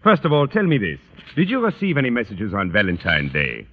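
A man's voice: fairly low pitch, wildly swooping pitch. Suave Frenchman, wildly swooping voice. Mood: coaxing, confiding. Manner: halting, foreign phrasing, courtly. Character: suave, flirtatious French teacher, a man. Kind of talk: 1940s-50s radio comedy acting. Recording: muffled, noisy old radio, reverberant hall.

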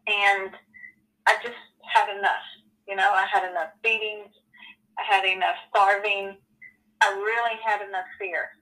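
A woman in her forties telling her personal story as an interview guest, earnest and halting, with many ums and you-knows. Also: medium pitch, flat delivery, bright, forceful tone, rough recording. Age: 40s.

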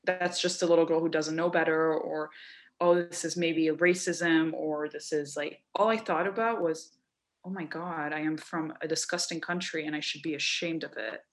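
Young woman from Lebanon, low-voiced storyteller. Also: normal speed. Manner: animated, quoting people in voices. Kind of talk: casual storytelling.

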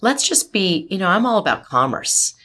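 A woman's voice: mid-range voice, big pitch swings, normal speed, bright, forceful tone. A woman in her forties, a marketing executive, talking in an interview in an emphatic, outspoken and optimistic way. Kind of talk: interview conversation.

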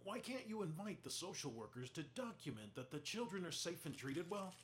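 A man's voice: a little high, sing-song, a little fast, slight background noise. An actor playing an earnest, challenging news reporter in an audio play, pressing his questions.

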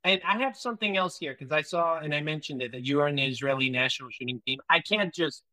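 A man's voice: on the high side, quick pace, sharp tone, low-quality recording. A man hosting a podcast interview, reading a guest introduction and then chatting casually and enthusiastically. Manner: enthusiastic, reads long intro then chats. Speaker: podcast host.

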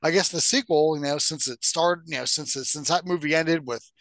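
A man with a slightly high voice talks as a podcast co-host, recorded close and dry. His delivery is casual and opinionated, with blunt phrasing and easy swearing. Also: a little fast, fairly bright tone, no echo. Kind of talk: casual opinionated film and game chat.

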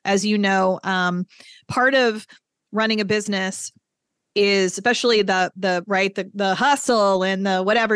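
A woman's voice: medium pitch, fairly bright tone, smooth, no echo, studio quality. A woman hosting a podcast interview, responding with empathy and reflecting thoughtfully in a casual conversational way. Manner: empathetic, reflective, with brief affirmations. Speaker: podcast host.

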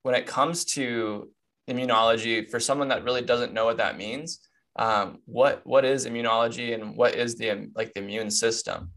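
A man's voice: mid-range voice, normal speed. A man in his twenties hosting a podcast, reading an upbeat intro and then chatting casually with a guest. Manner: upbeat scripted intro, then relaxed chat. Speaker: podcast host.

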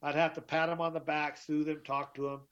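A middle-aged man with a deeper voice, telling his story as an interview guest, emphatic and often repeating himself. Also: flat delivery, brisk, a bit bright. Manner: emphatic, repeats and restarts words. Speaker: guest, author. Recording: dull lo-fi sound.

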